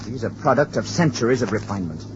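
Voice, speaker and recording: An actor playing a grave, composed alien visitor with a serious, controlled manner in a 1950s radio drama. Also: male voice, mid-range voice, lively intonation, fast, natural voice. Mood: grave concern. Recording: noisy, dull old radio recording.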